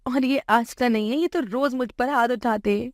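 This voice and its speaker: A woman acts out a wronged young wife in a family tale. She sounds earnest, plaintive and dignified, raised in pitch and recorded dry. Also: moderate pace, dry recording, natural voice. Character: hurt but dignified young wife standing up for herself.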